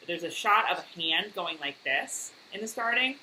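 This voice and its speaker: A woman's voice: on the low side, expressive, normal speed, pushed and bright, slight background noise, poor audio. A woman co-hosting a casual recap chat, talking loosely with lots of fillers and frequent laughter. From United States. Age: adult, worked in high school and college years ago. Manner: chatty, laughs often, many fillers. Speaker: podcast co-host.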